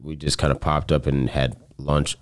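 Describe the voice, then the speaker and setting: Very deep-voiced man telling a story. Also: little pitch movement, moderate pace. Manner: earnest, swears casually, loose rambling phrasing. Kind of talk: casual storytelling chat.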